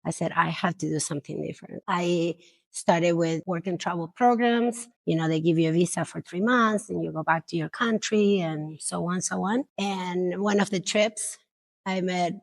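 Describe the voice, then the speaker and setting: A woman's voice: on the low side, moderate pace, vocal fry, no echo. A middle-aged woman from Argentina, reflectively telling her immigration story in an interview.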